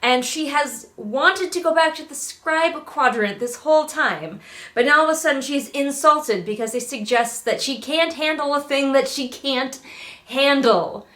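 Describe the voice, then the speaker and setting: A woman's voice: high voice, a little slow, fairly bright tone. A middle-aged woman giving casual, exasperated read-along commentary on a book, sarcastically acting out quotes as she goes. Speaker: book review host.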